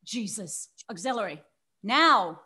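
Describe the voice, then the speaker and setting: A woman's voice: medium pitch, expressive, slow, bright, forceful tone, breathy edge, full character voice. A woman playing an urgent, commanding control-room operator in a sci-fi table read, giving clipped orders. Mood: alarmed.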